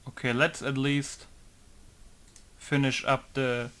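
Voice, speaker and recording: A man's voice: mid-range voice, level tone, takes it slowly, fairly bright tone, clean tone. A young man modding a game, chatting casually in rambling asides while he works at his computer. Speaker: game modder. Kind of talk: casual working commentary.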